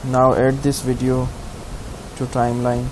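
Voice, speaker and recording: A man giving a step-by-step software tutorial in simple, halting instructions. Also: medium pitch, completely flat delivery. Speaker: tutorial host. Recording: clear background hiss.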